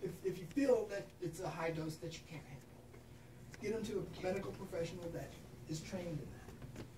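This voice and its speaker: A woman doctor from Kansas leads an informal session for clinicians, checking in with "right?" and laughing at herself as she goes. She sounds set back from the microphone in a reverberant room. Steady background noise runs under her voice. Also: fairly low pitch, fairly quick. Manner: frequent tag questions, laughs at herself. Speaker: pain management doctor. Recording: roomy echo, distant mic, noticeable background noise.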